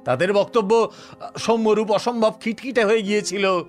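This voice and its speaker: An actor playing a talkative, smug police officer in a Bengali audio story, speaking colloquially with scoffing rhetorical tags. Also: male voice, high-pitched, steady tone, normal speed, faint music behind, close to an everyday voice. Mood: dismissive. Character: Anishchay, talkative cocksure male police officer, smug and sardonic.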